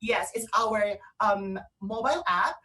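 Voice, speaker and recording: A woman with a Filipino accent co-hosting an online radio show, chatting casually and promotionally in an excitable, gushing way. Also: medium pitch, a little slow, recorded from a distance. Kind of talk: casual promotional chat between co-hosts.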